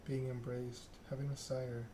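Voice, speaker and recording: A man's voice: medium pitch, little pitch movement, unhurried, noisy background, lightly acted. A man voicing an aloof, scheming female vampire patron from a game, formal and condescending, in an ASMR reading. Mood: wry, patient.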